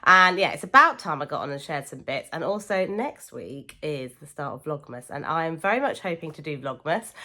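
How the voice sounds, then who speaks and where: A woman chatting to camera as a sewing vlogger, croaky from a cold, gushing warmly about her friends. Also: on the low side. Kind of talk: casual chat to camera.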